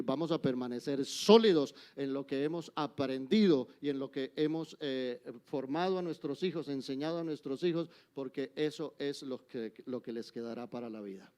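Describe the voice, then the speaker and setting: An older man with a Colombian accent preaching a sermon in church, emphatic, swinging between forceful exhortation and soft, intimate asides. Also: fairly high pitch, smooth. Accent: Colombian Spanish. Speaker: guest preacher.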